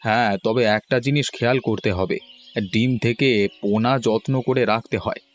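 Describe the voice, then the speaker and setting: A man's voice: medium pitch, steady tone, normal speed, slight background noise, close to an everyday voice. A man voicing a cautious cartoon village fish farmer who speaks colloquially and a little hesitantly. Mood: agreeable. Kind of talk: cartoon dialogue.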